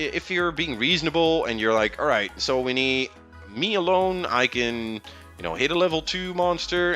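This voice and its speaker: Man, game streamer, casual rambling advice, bright tense voice, faint music behind. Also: medium pitch. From Netherlands. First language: Dutch. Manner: rambling, with many fillers and restarts. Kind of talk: casual live stream chat.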